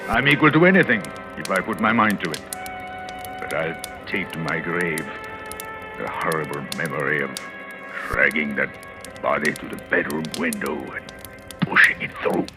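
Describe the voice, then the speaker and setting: A man's voice: very deep voice, normal speed. An actor playing a scheming, self-assured husband in a 1940s radio thriller, theatrical and intense, confessing his own crime. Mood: brooding, smug. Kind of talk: 1940s radio thriller acting, first-person confessional narration. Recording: old radio recording with dramatic music.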